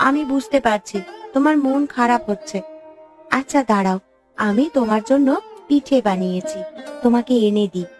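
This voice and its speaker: A woman voicing a caring young village daughter in a Bengali cartoon, gentle and coaxing. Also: a little high, normal speed, pauses often, faint music behind, close to an everyday voice. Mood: consoling. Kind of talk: Bengali cartoon story dubbing.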